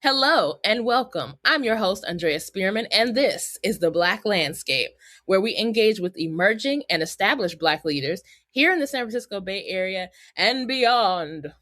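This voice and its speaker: A woman's voice: medium pitch, lively intonation, moderate pace, fairly bright tone, dry recording. American woman hosting, close dry sound. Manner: chatty, informal, addresses listeners directly. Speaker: podcast host. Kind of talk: casual podcast hosting and interviewing.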